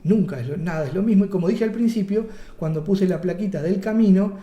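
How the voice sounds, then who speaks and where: A man's voice: sits high, fairly quick, mellow, echoey room, lo-fi sound. A man with a Rioplatense Spanish accent teaching a workshop in a playful, chatty way, asking and answering his own questions and slipping in jokes. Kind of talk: workshop lecture.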